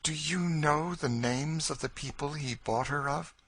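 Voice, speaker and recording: A man's voice: a little high, a little slow, a bit bright, husky, pitched above the performer's own voice, full character voice. A man reading an audiobook, voicing an anxious, intent runaway woman who asks an urgent question. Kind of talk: audiobook character voice.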